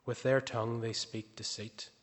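A man reading a psalm aloud in a church service, slow and measured. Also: mid-range voice, little pitch movement. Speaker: scripture reader. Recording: distant voice in echoing church.

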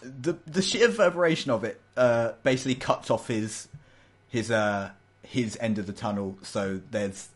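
A man's voice: mid-range voice, moderate pace. A man running a tabletop game over a voice call, loose and stumbling, swearing casually as he narrates and calls rolls. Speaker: game master.

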